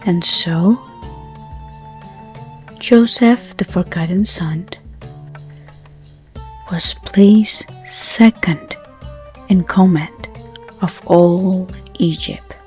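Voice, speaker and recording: A woman narrating a bedtime story in a gentle, soothing, hushed manner, with unhurried phrasing. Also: on the low side. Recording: soft music under the voice. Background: background music.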